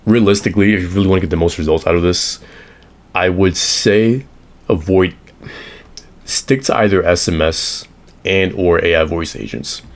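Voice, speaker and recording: Low-voiced hoarse man explaining automations. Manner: rambling, self-correcting, drawing on an iPad. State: hoarse, recovering from illness. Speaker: automation consultant. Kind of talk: casual screen-share tutorial.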